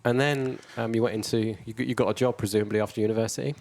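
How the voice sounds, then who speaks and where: Chatty low-voiced podcast host man. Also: fairly even intonation, racing, vocal fry, darkish tone. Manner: chatty, digressive, laughs along. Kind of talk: casual podcast interviewing. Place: brewery.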